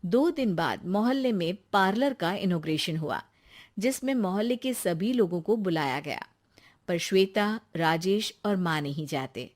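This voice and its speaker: A woman's voice: fairly low pitch, expressive, measured pace. A woman reading scripted narration for a moral story.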